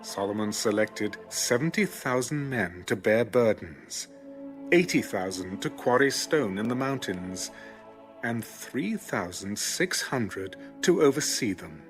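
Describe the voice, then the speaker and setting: Expressive male scripture narrator over music. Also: lowish voice. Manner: measured, formal, storytelling. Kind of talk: solemn dramatized scripture narration.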